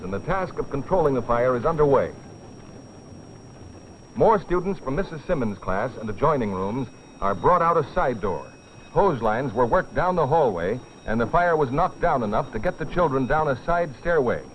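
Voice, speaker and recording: A man's voice: medium pitch, a little hiss or hum. A man delivering dramatic, solemn scripted narration for an educational film, with rhetorical weight. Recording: dull, dated film soundtrack with music.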